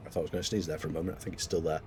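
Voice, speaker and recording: A man's voice: low voice, little pitch movement, rapid-fire, darkish tone, slight background noise. A man with a Mancunian accent chatting casually while live-streaming a game, rambling and laughing often. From Manchester, England.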